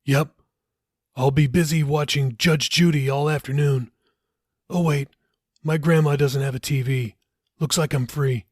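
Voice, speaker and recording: A man voicing a wry, dry-witted man in an audiobook, casual and deadpan. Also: a little high, measured pace, long pauses, no echo, voice pushed up. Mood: wry, joking. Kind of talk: audiobook character dialogue.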